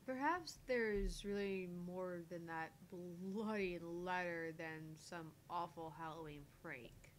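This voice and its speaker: A woman reads a visual novel aloud on a game stream, her voice a little on the low side. She moves through the narration informally, now and then tripping on a phrase and repeating it. A faint rumble of noise sits under the recording. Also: slow, few pauses. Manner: stumbles over lines and rereads. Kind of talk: reading story aloud with casual asides.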